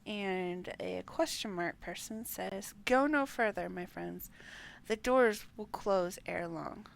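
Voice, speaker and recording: Slow-paced woman streamer with creaky voice. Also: mid-range voice. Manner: halting, stumbles over names while reading. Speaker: game streamer. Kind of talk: livestream chat and reading game dialogue aloud.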